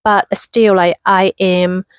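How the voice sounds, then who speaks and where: A woman's voice: mid-range voice, flat delivery, slow, smooth, dry recording, clean studio sound. A woman in her thirties from Hong Kong with a Hong Kong accent, chatting casually in a vlog with many fillers and restarts. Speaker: vlogger.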